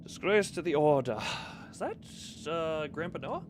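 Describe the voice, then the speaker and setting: A man doing live gameplay commentary, thinking out loud and often repeating and drawing out his phrases as he muses to himself. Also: fairly high pitch, big pitch swings, moderate pace, a little hiss or hum. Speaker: video game streamer.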